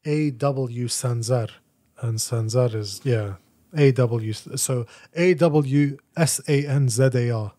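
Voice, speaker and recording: Male guest, mid pitch, blunt and animated, conversational. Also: takes it slowly. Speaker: podcast guest, article writer. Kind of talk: casual opinionated discussion.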